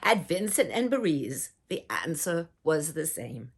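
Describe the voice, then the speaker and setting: A woman reading a children's storybook aloud in a theatrical, deliberate way, as if addressing young listeners. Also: low voice, sing-song, slightly rough. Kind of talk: performed children's storybook narration.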